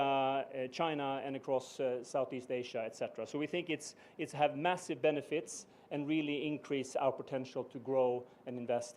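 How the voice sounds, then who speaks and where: A middle-aged man with a Swedish accent giving a measured, formal speech as a business executive, pausing often on "uh". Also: medium pitch, a little mellow, a little hiss or hum. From Sweden. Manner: measured, with frequent "uh" pauses. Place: ceremony venue.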